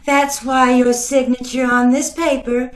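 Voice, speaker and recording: A woman playing a flirtatious, flattering saleswoman with a coy, sugary, cooing manner in a radio comedy. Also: high-pitched, unhurried. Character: flirtatious, flattering saleswoman sweet-talking her customers. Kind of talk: old-time radio comedy acting. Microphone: video call. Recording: echoey room over video call.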